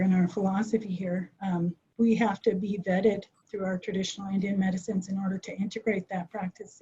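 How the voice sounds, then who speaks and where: Woman giving a webinar talk, mellow husky voice, lots of ums, earnest, muffled audio. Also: medium pitch. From United States. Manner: earnest, many "um" fillers, thinks aloud. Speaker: behavioral health clinician presenting. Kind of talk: webinar presentation. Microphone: video call.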